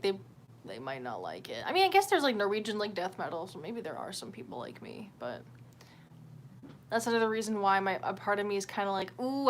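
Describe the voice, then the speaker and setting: A woman's voice: medium pitch, slight background noise. A woman chatting casually on a livestream, rambling in a candid, sweary and self-deprecating way. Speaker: livestream host.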